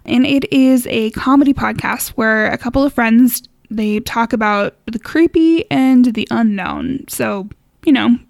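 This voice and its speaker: A woman's voice: a little high, measured pace, vocal fry, no room sound. A woman hosting a podcast in casual, reflective conversation. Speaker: podcast host. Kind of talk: casual chat.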